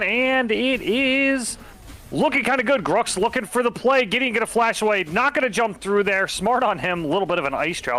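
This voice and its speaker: A man commentating an esports match, explaining plays in a relaxed, casual way. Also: sits high.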